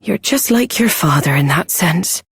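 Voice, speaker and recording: A woman playing a doting middle-aged mother in a fantasy video game, with a British accent and an affectionate, expressive manner. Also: on the low side, unhurried, vocal fry, husky, no echo, clean studio sound, heavily acted. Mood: concerned, tender. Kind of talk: scripted video game voice acting.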